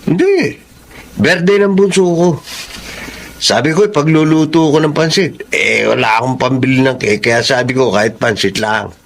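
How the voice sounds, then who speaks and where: A man's voice: mid-range voice, normal speed, vocal fry. An actor playing a cheerful, easygoing poor family man, content with a simple life, in a folksy Filipino radio drama style. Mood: grateful, cheerful. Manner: folksy, chuckling, animated.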